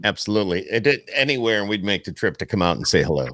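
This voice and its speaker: A man's voice: fairly low pitch, big pitch swings, rapid-fire, a bit bright, dry recording, clean studio sound. An American man hosting a podcast, chatting casually with a jokey manner and repeated phrases. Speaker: podcast host. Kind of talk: casual chat.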